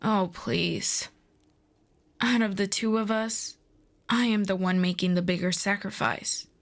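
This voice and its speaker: A woman playing a proud, earnest demon princess in a fantasy audio roleplay, speaking in a formal, deliberate, persuasive way. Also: medium pitch, steady tone, natural voice. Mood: firm, indignant.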